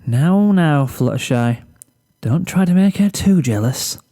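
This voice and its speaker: A man voicing a gentle, sweet female pegasus pony, pleased with herself, in a storybook reading. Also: a little high, lively intonation, a little slow, pitched above the performer's own voice. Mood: giddy, proud.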